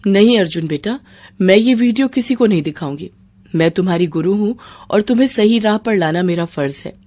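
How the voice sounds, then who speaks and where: A woman's voice: mid-range voice, animated, brisk, warm tone, lightly acted. A woman voicing a principled, firm schoolteacher in a cartoon moral story, with an admonishing manner. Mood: forgiving. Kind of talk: animated moral story dialogue.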